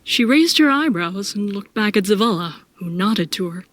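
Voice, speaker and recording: Woman narrating drama, mid-pitched voice. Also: animated, normal speed. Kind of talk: scripted first-person dramatic narration.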